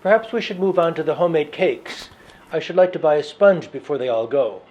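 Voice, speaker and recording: A man plays a genteel, forward gentleman with an eye for finery. His voice sits a little high and chats along fussily. The recording is slightly dull, as in an amateur novel dramatization. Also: a little fast, close to an everyday voice. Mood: breezy, forward.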